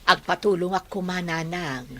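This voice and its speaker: A woman's voice: lowish voice, moderate pace, pushed and bright. A woman playing a regretful middle-aged mother in a radio drama, speaking in a remorseful, pleading manner.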